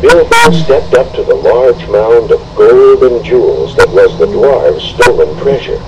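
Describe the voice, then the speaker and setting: A man reading a storybook aloud with expressive, dramatic narration, on a noisy home recording. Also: medium pitch, measured pace. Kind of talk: scripted storybook read-along narration.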